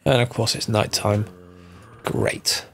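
A man's voice: lowish voice, little pitch movement, slow, a little hiss or hum. A man with a stuffy, bunged-up voice from a cold, casually narrating a game mod tutorial, thinking aloud and correcting himself as he goes. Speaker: Minecraft mod tutorial host.

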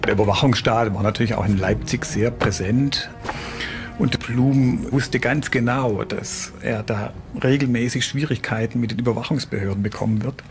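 A man giving spontaneous explanations as an expert in a documentary interview, pausing as he thinks. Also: medium pitch, fairly even intonation, over music.

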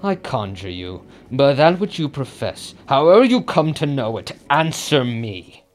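A desperate, ruthless king, played by an actor, declaiming intensely in classical radio-play style. His delivery is slow, with a very animated pitch that rises and plunges. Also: faint music behind. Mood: demanding, uneasy. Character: tormented, commanding warrior king, desperate and ruthless. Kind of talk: Shakespearean radio drama acting.

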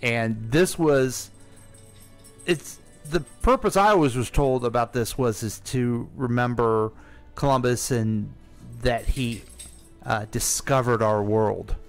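A man's voice: medium pitch, slow pace, soft background music. Joking American man co-hosting radio. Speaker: radio co-host. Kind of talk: casual banter.

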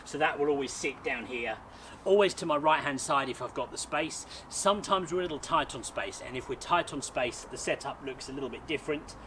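A man's voice: medium pitch, a little fast, bright, forceful tone. Chatty man filming outdoors. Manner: chatty, upbeat, explaining step by step. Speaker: sports photographer and vlogger. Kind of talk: casual gear walkthrough. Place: small back garden outdoors. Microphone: camera mic on a tripod, a few feet away. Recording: outdoor camera audio with noticeable background noise.

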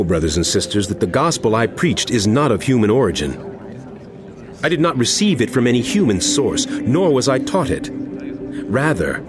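An actor playing an impassioned apostle in a dramatized audio Bible, speaking in a solemn, emphatic, oratorical way. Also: male voice, mid-range voice, close to an everyday voice. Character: impassioned apostle writing a stern, earnest letter. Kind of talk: dramatized scripture reading over music. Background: background music.

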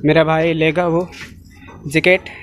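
A man's voice: on the high side, level tone, slow pace, music in the background. A man, chatting casually to viewers as a vlogger. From India. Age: 20s. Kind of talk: casual vlog chat. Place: large indoor shopping mall. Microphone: phone at arm's length. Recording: noisy phone recording in busy mall.

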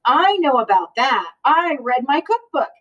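A woman reading a storybook voices a cheerful, literal-minded and easily puzzled woman substitute teacher, with exclamatory, drawn-out words. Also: sits high, sing-song, fairly bright tone, dull audio, exaggerated voice. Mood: exclaiming, eager. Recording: distant microphone in a room.

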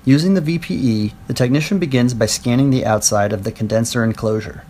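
A man's voice: mid-range voice, brisk. Man narrating a product voice-over. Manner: clear, even explanatory delivery.

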